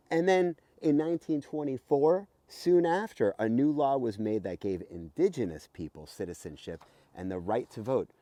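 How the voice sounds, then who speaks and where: Very animated man reading to children. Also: mid-range voice. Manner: expressive, emphatic, with rhetorical questions. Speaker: teacher reading a children's book aloud. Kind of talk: animated read-aloud for children with explanatory asides. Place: outdoors beside a house.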